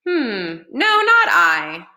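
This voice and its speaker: A woman's voice: a little high, huge pitch swings, extremely slow, pushed and bright, echoey room, pitched above the performer's own voice, full character voice. A woman voicing a self-doubting young girl inventor in a children's storybook, plaintive and emphatic. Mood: hesitant.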